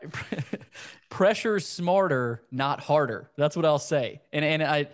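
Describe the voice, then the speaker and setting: Male sports podcaster, higher-pitched, conversational football breakdown, repeats himself for emphasis. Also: animated, slow, smooth.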